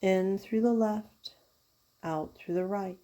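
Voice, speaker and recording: A woman leading a guided yoga nidra meditation as a teacher, giving soothing, unhurried instructions with pauses. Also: mid-range voice, fairly even intonation, dark tone. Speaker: yoga therapist guiding a meditation.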